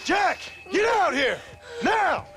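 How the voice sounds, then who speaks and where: An actor playing a gruff, sardonic Old West gunslinger and family man with an American accent, folksy and commanding, in a video game. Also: very high voice, sing-song, slow, music in the background, heavily acted. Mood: furious, alarmed. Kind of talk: dramatic video game acting.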